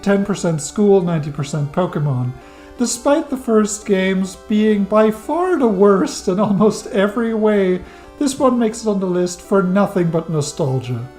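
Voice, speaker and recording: A man's voice: high voice, dark tone. Wry enthusiastic non-native man reviewer. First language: not English. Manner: wry, enthusiastic, dry jokes. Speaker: game reviewer. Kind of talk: scripted video-essay narration.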